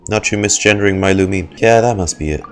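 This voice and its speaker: A man's voice: on the low side, quick pace, faint music behind. A man giving casual live reactions as a streamer playing a story-driven game.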